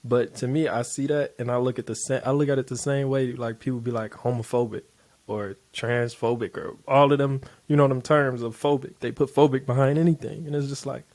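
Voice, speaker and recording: A man giving casual, blunt reaction commentary on a clip he keeps pausing. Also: medium pitch, fairly even intonation, a little mellow. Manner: loose, blunt, profane street talk. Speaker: reaction video host.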